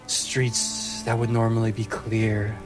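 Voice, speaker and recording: A man narrating a tabletop fantasy game as game master, in deliberate, atmospheric phrases broken by pauses. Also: lowish voice, completely flat delivery. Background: music.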